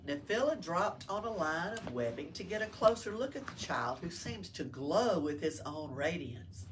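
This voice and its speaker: A woman reads a story to children in an expressive, slightly low voice that swings widely in pitch. She prompts and explains like a teacher. Faint room noise sits behind her. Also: normal speed. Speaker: library story-time reader. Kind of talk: read-aloud to children with questions.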